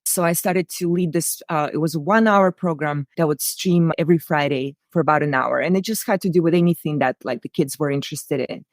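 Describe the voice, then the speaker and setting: A woman's voice: low voice, a little fast, hardly any pauses, no echo, studio quality. A woman from Russia with a Russian accent, speaking conversationally and earnestly as an interview guest telling personal stories. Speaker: interview guest, writer-director.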